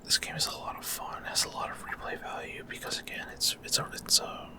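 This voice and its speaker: A man whispering casual, rambling gameplay commentary for ASMR in a breathy, unvoiced whisper, often hesitant as he searches for words. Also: brisk. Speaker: gaming ASMR creator.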